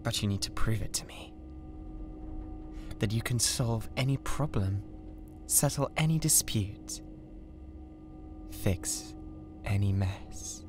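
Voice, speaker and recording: A British man playing a cold, condescending mad scientist with a god complex in an ASMR roleplay, speaking in a deliberate, theatrical way. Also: fairly low pitch, animated, music in the background. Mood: grandiose, controlled. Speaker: ASMR voice actor. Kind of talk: ASMR roleplay, scripted drama.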